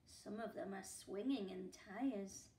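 A woman in her forties, a primary school teacher reading a picture book aloud at home, with gasps and hushed asides for young listeners. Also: medium pitch, slow pace, creaky, roomy sound, rough recording. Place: living room at home, indoors. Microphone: camera a few feet away.

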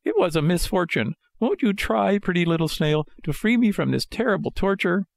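A man's voice: sits high, big pitch swings, normal speed, gravelly, no room sound, studio quality, pitched above the performer's own voice, full character voice. A man voicing an impatient, dramatic wooden marionette boy from a storybook, pleading and exclaiming. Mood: desperate, pleading. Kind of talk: storybook character voice.

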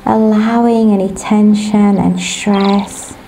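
A woman leading a guided sleep meditation in a gentle, soothing, instructive manner, as a meditation guide. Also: medium pitch, level tone, slow pace, slight background noise, rough recording. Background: ocean waves.

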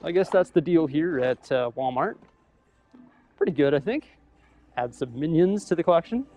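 A young man filming himself in a big store, chatting casually as he weighs up prices and thinks out loud. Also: a little high, lively intonation, moderate pace. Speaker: vlogger. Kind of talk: casual shopping vlog. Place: large store aisle, indoors. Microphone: camera held at arm's length.

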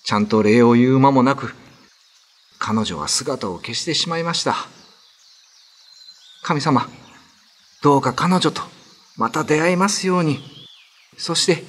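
A man's voice: medium pitch, unhurried, pauses often, close to an everyday voice. A man playing a pious young male farmer in a radio drama, speaking politely and with heartfelt drama. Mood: earnest prayer.

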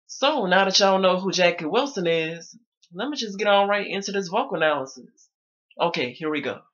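A man's voice: high-pitched, animated, normal speed, a bit bright, dull audio. A young man talking casually to his viewers from his bedroom, his voice a little strained. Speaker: vlogger. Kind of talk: casual talk to camera. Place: small bedroom indoors.

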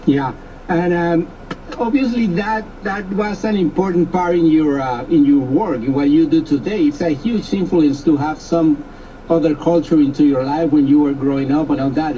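A man's voice: a little high, warm tone, a little hiss or hum. A man hosting a radio interview, asking long rambling questions with repeated words. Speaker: radio host. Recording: distant, dull radio audio.

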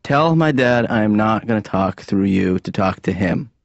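An actor playing a slacker grown son in a cartoon, talking casually and hesitantly, quick to plead and argue. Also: male voice, fairly low pitch, lively intonation, creaky, dry recording, dull audio. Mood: exasperated. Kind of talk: improvised-sounding conversational cartoon acting.

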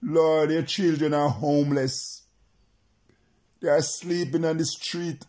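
A man's voice: a little high, steady tone, slow pace, a little mellow. A male preacher praying and preaching to remote listeners, repeating his phrases in an insistent, chant-like cadence.

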